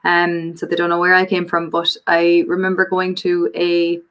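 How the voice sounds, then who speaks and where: A young Irish woman telling stories in a lively way during a casual live-stream chat. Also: on the low side, normal speed. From Ireland. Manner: lively storytelling.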